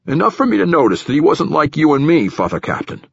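A man's voice: a little high, sing-song, fast talker, vocal fry, a little hiss or hum, voice pushed up. A man voicing a flustered, defensive male station director in an audiobook, deferential and hedging with folksy phrasing. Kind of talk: audiobook character dialogue.

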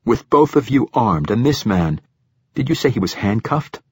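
Man playing a stern, husky priest-captain. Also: mid-range voice, moderate pace, no echo, voice pushed up. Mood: probing, sarcastic. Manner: curt, flat, sarcastic, insistent questioning. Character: stern, probing male priest-captain interrogator. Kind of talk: audiobook character dialogue.

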